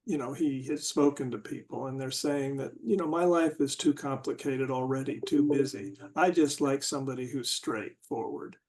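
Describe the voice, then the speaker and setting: A man in a casual group discussion, talking haltingly and searching for words with many restarts. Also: mid-range voice, a little mellow, low-quality recording.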